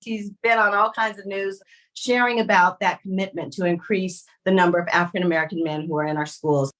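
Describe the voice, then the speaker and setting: A woman hosting a podcast interview, encouraging and expressive, now and then slipping into playful put-on voices. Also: on the low side, brisk, poor audio. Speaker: podcast host.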